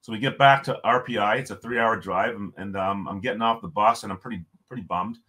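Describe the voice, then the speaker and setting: A man from Ontario with a loud voice, telling stories as a podcast guest in an animated, emphatic way. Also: lowish voice, fairly quick. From Canada, Ontario. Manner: animated storyteller, emphatic, frequent restarts. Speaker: podcast guest, former hockey player. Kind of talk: casual storytelling interview.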